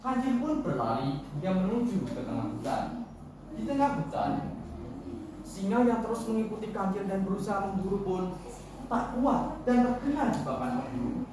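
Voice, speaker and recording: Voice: medium pitch, big pitch swings, moderate pace, a little mellow. Theatrical storyteller in a very echoey hall. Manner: theatrical, declamatory. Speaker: storytelling contestant. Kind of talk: dramatic story narration. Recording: echoey hall, distant mic, noisy.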